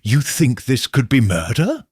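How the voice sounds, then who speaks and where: A troubled, suspicious man puzzling out a mystery , voiced by a male audiobook reader. He speaks slowly and seriously, halting in thought, with an expressive, slightly husky tone. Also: medium pitch, no echo, clean studio sound, full character voice. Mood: uneasy. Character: troubled, suspicious man investigating a village death. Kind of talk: audiobook character dialogue.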